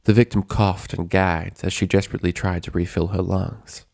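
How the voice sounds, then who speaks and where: A man reading a scripted story as narrator, in a measured, dramatic storytelling manner. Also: low-pitched, flat delivery, creaky, a little mellow, no echo, clean studio sound.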